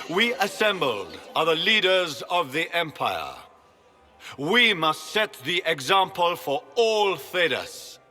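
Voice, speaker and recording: An actor playing a proud, commanding grand duke in a video game, with a deliberate, oratorical manner. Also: male voice, on the high side, bright, forceful tone, heavily acted. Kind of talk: theatrical video game acting. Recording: long hall reverb, music behind.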